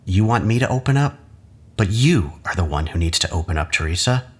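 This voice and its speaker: A low-voiced, husky, condescending husband. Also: male voice, lively intonation. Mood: condescending, insistent. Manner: measured, coaxing, patronizing. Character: manipulative husband, an academic doctor, calmly condescending and persuasive. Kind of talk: audio drama acting.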